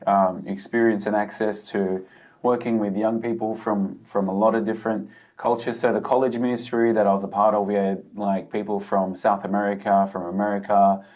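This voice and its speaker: An Australian man with a fairly low voice speaks as a podcast guest. He answers conversationally, explaining things through his own anecdotes and examples. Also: darkish tone.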